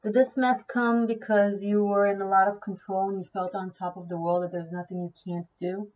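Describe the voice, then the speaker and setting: A woman's voice: medium pitch, little pitch movement, a little fast, darkish tone, distant mic, highs cut off. A woman whose first language is Hebrew, giving a casual tarot reading, chatty and thinking aloud, often repeating key words. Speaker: tarot reader.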